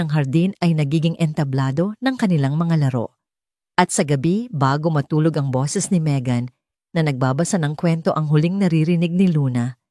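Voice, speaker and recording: A woman's voice: low voice, dark tone, no echo, studio quality. A woman with a Filipino accent reading a scripted romance story as an audiobook narrator.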